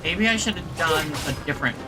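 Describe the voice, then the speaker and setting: A woman's voice: low voice, lively intonation, a little fast. A woman streamer giving casual let's play commentary and short reactions while playing a game. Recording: game music behind the voice.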